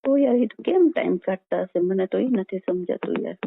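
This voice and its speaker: A woman's voice: medium pitch, lively intonation, fairly quick, creaky, husky, dry recording. A woman with a Saurashtra Gujarati accent chatting casually on the phone. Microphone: telephone. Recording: muffled lo-fi phone call recording.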